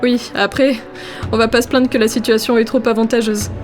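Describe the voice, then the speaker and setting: A woman's voice: on the high side, steady tone, a little fast, soft background music, close to an everyday voice. A woman playing a practical insect adventurer in an audio drama, matter-of-fact and conversational. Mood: surprised.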